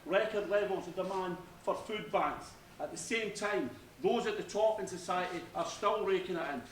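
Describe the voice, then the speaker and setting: A man giving a loud, forceful campaign speech as a candidate at a debate, repeating phrases for emphasis. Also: sits high, normal speed. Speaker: election candidate. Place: university debate hall. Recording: echoey hall, far from the mic, faint noise.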